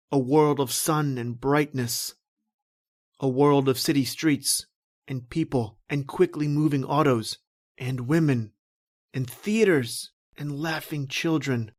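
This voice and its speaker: A man's voice: fairly high pitch, darkish tone. A man playing a tormented, lost husband recounting his doom in a brooding, dramatic old-time radio horror style. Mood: haunted, despairing.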